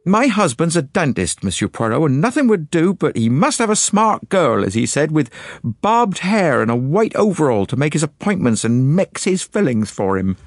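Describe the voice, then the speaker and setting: A man's voice: on the high side, sing-song, moderate pace, no room sound, clean studio sound, pitched above the performer's own voice. A man voicing a fretful middle-aged lady. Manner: breathless, complaining, prim. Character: fretful middle-aged woman, anxious and indignant. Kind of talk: audiobook character voice.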